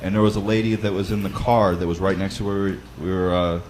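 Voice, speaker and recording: A man with a fairly low, loud voice talks through practical advice like a teacher walking a class through it. He speaks conversationally, often pausing on fillers and restarting phrases. A faint hum of background noise sits under the recording. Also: flat delivery. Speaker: Bible study teacher. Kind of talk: instructional talk.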